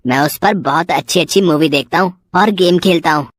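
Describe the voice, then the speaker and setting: A woman's voice: low voice, brisk, no echo, studio quality. A woman voicing a bragging young schoolboy in a cartoon story. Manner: bragging, sly. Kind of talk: cartoon voice acting.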